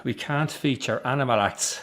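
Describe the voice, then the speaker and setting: An actor playing a driven, frustrated middle-aged son with an Irish accent, in a naturalistic radio drama. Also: male voice, mid-range voice, moderate pace, fairly bright tone, lightly acted. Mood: uneasy. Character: driven, frustrated son running the struggling family circus.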